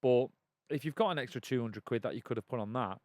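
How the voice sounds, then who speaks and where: A man's voice: medium pitch, fast, darkish tone, no echo. A man co-hosting an advice podcast, chatty and emphatic, getting indignant. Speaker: podcast co-host. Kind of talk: casual advice chat.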